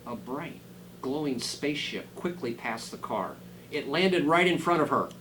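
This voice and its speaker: A man's voice: mid-range voice, animated, a little slow. Man reading a storybook aloud, noisy recording. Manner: dramatic, with quiet asides to listeners. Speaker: school superintendent reading a picture book aloud.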